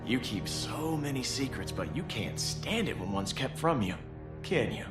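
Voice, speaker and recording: A man's voice: mid-range voice, lively intonation, normal speed, echoey room, loud music behind the voice, natural voice. An actor playing a resentful male superhero with a cutting, accusing tone.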